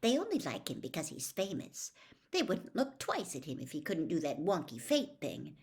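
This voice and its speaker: A woman's voice: deep voice, huge pitch swings, normal speed, darkish tone, full character voice. A woman voicing a bossy, earnest teenage schoolgirl witch, dignified and impatient, in a storybook reading. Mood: irritated muttering.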